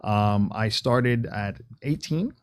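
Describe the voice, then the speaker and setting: Male interview guest, 40s, low register, informal and reflective storyteller, close dry sound. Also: slow, dry recording. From Tampa, Florida. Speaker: interview guest, logistics branch manager. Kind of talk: conversational interview answers.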